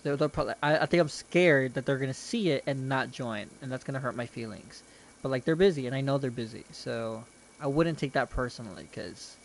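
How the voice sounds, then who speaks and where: Young man, casual stream chatter, rambling, mid-pitched voice, faint background hiss. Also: low-quality recording. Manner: chatty, self-deprecating, rambling with restarts. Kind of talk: casual rambling commentary while gaming. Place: his bedroom.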